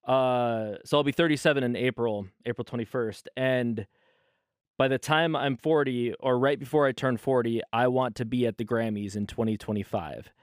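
Rambling thirty-something man, smooth voice. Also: mid-range voice, steady tone, moderate pace, dry recording, studio quality. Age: 30s. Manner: loose, rambling, self-correcting. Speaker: podcast host. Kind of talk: casual solo podcast talk. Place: bedroom.

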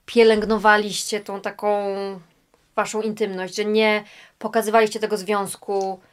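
A woman interviewer asking measured, probing questions. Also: medium pitch, clean tone.

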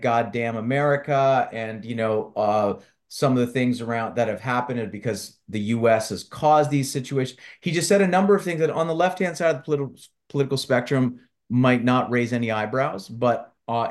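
Halting man presenting informally. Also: mid-range voice. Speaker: workshop facilitator.

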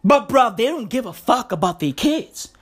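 A young woman with a slightly high voice rants hard on her podcast, swearing and piling on the slang. Her voice sounds like it is starting to go. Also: big pitch swings, moderate pace, husky. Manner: profane, slangy, emphatic ranting. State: losing her voice. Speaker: podcast host. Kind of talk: comedic podcast rant.

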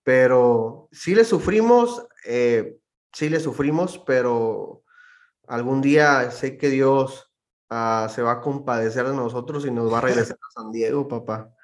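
A man's voice: medium pitch, unhurried. A man with a northern Mexican accent, a podcast guest telling stories in a slangy, laid-back casual chat.

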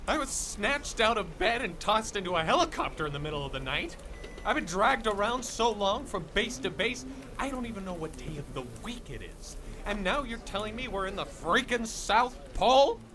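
A man's voice: high voice, sing-song, normal speed, noisy background. An actor playing a weary, sarcastic male war correspondent dragged from his bed. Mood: incredulous. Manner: sarcastic, exasperated. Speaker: radio broadcaster figure. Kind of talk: audio drama acting.

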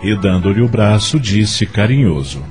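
A man's voice: lowish voice, animated, unhurried, darkish tone, soft background music. A man narrating a scripted radio drama as its storyteller.

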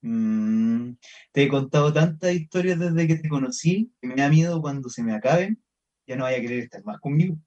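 A man's voice: medium pitch, animated, normal speed, darkish tone, muffled recording, lightly acted. A man acting a wary, somewhat insecure Chilean boyfriend in a naturalistic radio drama, talking in a colloquial, conversational way. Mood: hesitant, teasing. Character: wary, distrustful local boyfriend named Manuel, insecure in love.

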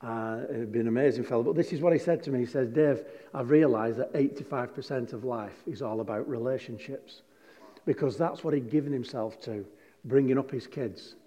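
A pastor preaching to a congregation, moving between relaxed, joking anecdotes and stressed scripture. His voice sits in the middle of the male range. Long reverb from a large hall hangs behind every phrase. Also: medium pitch, fairly quick. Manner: chatty, jokey storytelling with emphatic scripture reading. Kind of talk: sermon.

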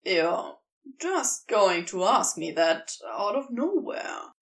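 A woman voicing a guarded male fallen angel in an audio story, with a halting, understated, awkward manner. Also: medium pitch, very animated, voice pushed down. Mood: surprised.

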